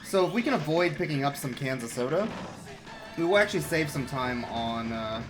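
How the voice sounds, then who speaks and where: A man giving relaxed, chatty live commentary on his speedrun, explaining strategy as he plays. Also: medium pitch, brisk, over music.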